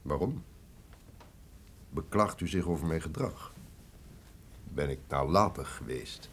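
An actor playing a proud, menacing and passionate duke in a radio drama, speaking in a pompous, formal, commanding way. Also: male voice, extremely low pitch, expressive, takes it slowly, a little hiss or hum, heavily acted. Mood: smooth, proud. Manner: pompous, commanding, formal old-fashioned speech. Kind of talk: theatrical radio drama acting.

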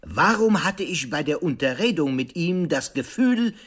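A shrewd detective in a radio play, precise and sure of himself. His voice is slightly rough and husky, swinging widely in pitch as he builds dramatic pauses and pointed questions. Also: expressive, a bit bright. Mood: dramatic revelation. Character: shrewd, theatrical male detective, self-assured and precise. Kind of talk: radio drama monologue, explaining a case.

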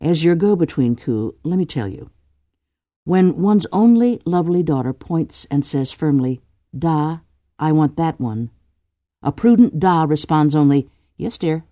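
A woman voicing a brisk, commanding noblewoman who plays matchmaker with wry teasing. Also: sits low, animated, dark tone, dry recording, voice pushed up.

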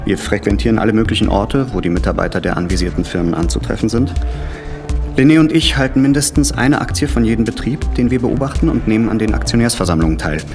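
A man's voice: fairly low pitch, lively intonation, quick pace, over music. An actor playing a male police captain, giving a matter-of-fact, explanatory briefing in a radio drama. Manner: businesslike, explanatory.

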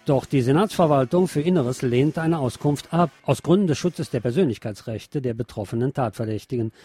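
Husky man reading the radio news. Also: medium pitch, fairly quick, dark tone, faint music behind. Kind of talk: reading news reports aloud with brief asides.

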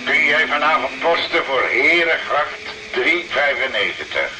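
An actor playing a businesslike, clipped dispatcher on a car radio in a radio play. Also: male voice, fairly high pitch, lively intonation, a little slow. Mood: businesslike, curt. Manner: clipped, official. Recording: very noisy car radio transmission.

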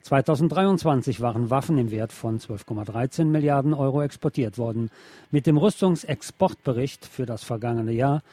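A man reading scripted news in a measured, formal manner. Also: medium pitch, mellow, dry recording. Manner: measured, formal read-out delivery. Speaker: news reader.

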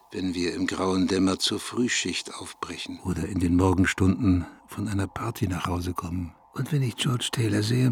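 An actor playing a brooding small-town man who tells his memories in a measured, reflective radio play monologue, with a husky, rough-edged voice. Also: fairly low pitch, full character voice. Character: brooding small-town man recalling local gossip and the dead. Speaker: narrator. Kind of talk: literary radio play monologue, scripted narration.

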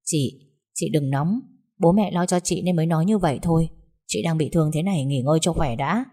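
Woman voicing a soft-spoken younger brother. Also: low voice. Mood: soothing. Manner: soft, slow, soothing. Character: gentle younger brother, soothing young man.

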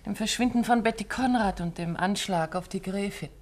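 Poised, lightly teasing detective's wife played by an actress. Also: female voice, medium pitch, moderate pace, natural voice. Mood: curious, teasing. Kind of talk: 1950s German radio drama acting. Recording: faintly noisy old radio recording.

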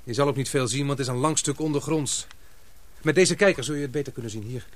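An actor playing a calm, protective, affectionate man in a radio drama, speaking in a reassuring, measured way. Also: medium pitch, close to an everyday voice. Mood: brisk, expectant. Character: Paul, calm, protective, affectionate male sweetheart.

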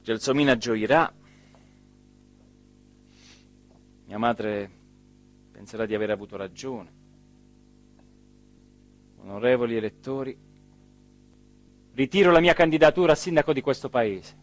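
A male actor performs a brooding, weary villager in a literary theatre monologue. He is solemn and deliberate, letting pauses hang at an average male pitch. Plucked guitar music plays behind him. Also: natural voice. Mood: declamatory, bitter. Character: weary, brooding village man, mayoral candidate addressing voters.

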